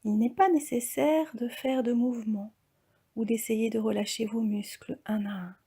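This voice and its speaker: Woman, yoga relaxation instructor, mellow tone, creaky edges, soft and calm, half-whispered. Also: on the high side, normal speed. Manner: calm, soft, breathy, often near-whispered delivery. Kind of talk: guided relaxation meditation.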